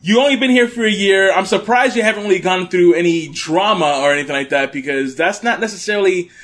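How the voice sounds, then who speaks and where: A man hosting a casual podcast from his apartment, loud and joking at his own expense into a desktop mic. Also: sits high, quick pace. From Brooklyn, New York. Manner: loud, jokey, self-mocking. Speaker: podcast host. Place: home apartment. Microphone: USB desktop condenser mic.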